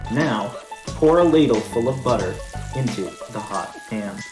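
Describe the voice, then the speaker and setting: A man voicing a patient mother in a storybook reading, giving calm, step-by-step instructions, in a roomy recording with music behind. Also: medium pitch, a little slow, natural voice. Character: patient country mother cooking breakfast. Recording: roomy room with background music.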